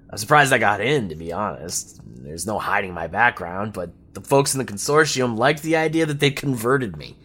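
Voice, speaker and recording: An actor playing a chatty, wry, slightly anxious revolutionary envoy rambling through a briefing in an audio drama. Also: male voice, mid-range voice, fairly bright tone.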